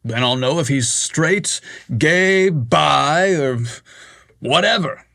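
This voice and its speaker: A man voicing a brash, eager adult man who talks loudly and theatrically. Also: on the high side, animated, slow pace, gravelly, no room sound, studio quality, voice pushed up, exaggerated voice. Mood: melodramatic. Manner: loud, theatrical, exasperated.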